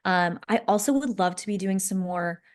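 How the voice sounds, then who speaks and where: A woman's voice: medium pitch, moderate pace. Bubbly woman in her thirties, chatty. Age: 30s. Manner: bubbly, laughs often, rambling restarts. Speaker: podcast co-host. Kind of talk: casual podcast chat. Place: San Diego.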